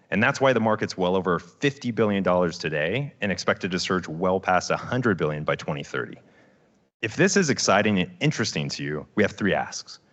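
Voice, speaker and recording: A man co-founder delivering a confident, salesy startup pitch on stage. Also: fairly bright tone, highs cut off. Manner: confident, salesy, building to asks.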